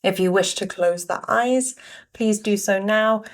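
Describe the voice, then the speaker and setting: Female meditation guide, mid-range voice, very slow delivery, gentle instruction with frequent pauses. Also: medium pitch. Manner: unhurried, pausing between short phrases.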